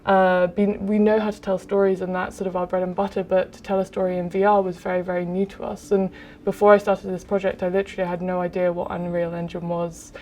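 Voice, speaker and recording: A young adult woman talks through how her game was made in an evenly paced, fairly flat voice, pausing on "um" as she thinks. Faint ambient noise sits behind her. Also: lowish voice. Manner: even, explanatory, with frequent "um". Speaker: game director. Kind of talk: interview answers.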